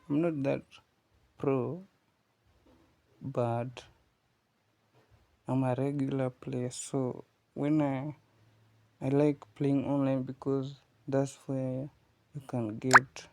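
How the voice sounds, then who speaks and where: Man, Kiswahili speaker, unhurried, monotone, gravelly, patient gaming tutorial host. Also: mid-range voice, no room sound. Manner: explains patiently to viewers, often asking "you see?". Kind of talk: casual gameplay tutorial commentary. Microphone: phone recording.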